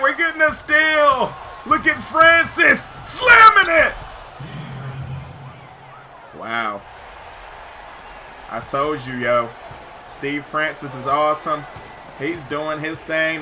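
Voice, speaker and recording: A man giving excited, slangy live commentary over basketball video game play, shouting at big plays, his voice getting hoarse. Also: high voice, noisy background. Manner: slangy, says "yo" often. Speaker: gaming YouTuber.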